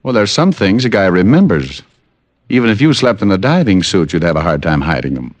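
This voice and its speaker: A wisecracking private eye. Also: male voice, low-pitched, big pitch swings, a little fast, muffled recording. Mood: wry. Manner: dry, wisecracking, laconic. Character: wisecracking male private detective narrating. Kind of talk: 1950s radio drama acting and narration. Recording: old radio broadcast with faint music.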